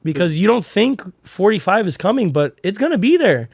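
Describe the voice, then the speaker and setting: Young man, hoarse, fairly high voice, rambling slangy podcast talk, dry close sound. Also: very animated, a little fast, a little mellow, no room sound. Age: 20s. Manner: rambling, slangy, heartfelt, many fillers. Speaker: podcast host. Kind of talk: casual chat.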